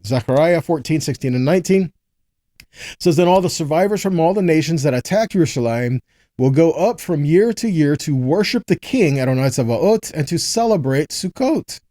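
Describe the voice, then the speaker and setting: A man with a soft-edged, mellow voice pitched a little high teaches from scripture. He reads verses and then explains them in a didactic style, punctuated by brief questions to the listener. The sound is dry, with very little room in it. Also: lively intonation, moderate pace, no echo. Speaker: Bible teacher.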